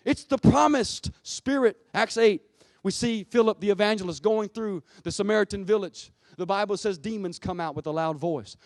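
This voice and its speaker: A man's voice: high-pitched, a little mellow, no room sound. A man preaching an impassioned Pentecostal sermon, emphatic and exhorting, repeating phrases and throwing out rhetorical questions.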